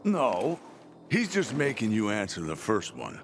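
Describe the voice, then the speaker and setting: A man's voice: lowish voice, wildly swooping pitch, measured pace, noisy background, exaggerated voice. An actor playing a playful young man in a video game, teasing his drinking friends. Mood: wry. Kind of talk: video game character acting.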